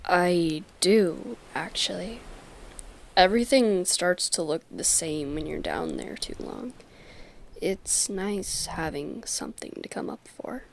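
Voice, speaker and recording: A woman voicing a guarded, sardonic merperson from the deep sea, dry and teasing, in a dramatic comic dub. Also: slow pace, gravelly. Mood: quietly sincere.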